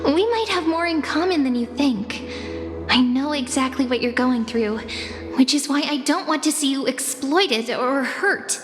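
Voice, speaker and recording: Game cutscene: gentle stranded woman, soft and coaxing, unhurried, higher register; music bed. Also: in a large hall. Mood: earnest warning. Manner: soft, calm, persuasive. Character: gentle mysterious woman trapped in an empty void.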